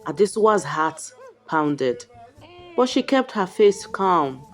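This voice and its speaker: A woman storyteller narrating a folktale in a dramatic, suspenseful way, with deliberate phrasing and short pauses. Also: on the low side, big pitch swings, soft background music.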